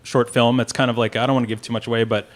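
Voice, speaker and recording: A man's voice: mid-range voice, little pitch movement, very fast. A man speaking casually as an independent filmmaker being interviewed at a festival.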